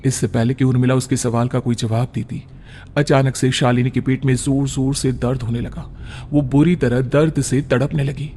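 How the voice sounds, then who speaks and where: A man's voice: medium pitch, flat delivery, normal speed, dark tone, a little hiss or hum. A man narrating a scripted story in a dramatic storytelling style.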